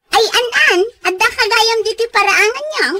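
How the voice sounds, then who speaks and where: A woman's voice: very high-pitched, normal speed, sharp tone, dry recording. A woman with an Ilocano accent playing an idle, easily offended, gossip-loving neighbor in a radio comedy, whiny and pestering.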